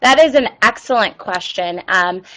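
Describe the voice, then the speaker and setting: A woman's voice: medium pitch, animated, moderate pace, a bit bright, low-quality recording. A woman presenting an online webinar and answering viewer questions, with many ums and uhs. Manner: reads viewer questions aloud.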